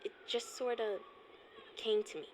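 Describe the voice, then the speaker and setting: A woman's voice: on the high side, steady tone, measured pace, darkish tone, a little hiss or hum, lightly acted, low-quality recording. A woman playing an evasive, pushy girl on the run in a family audio drama, with sassy, slangy phrasing. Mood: dismissive.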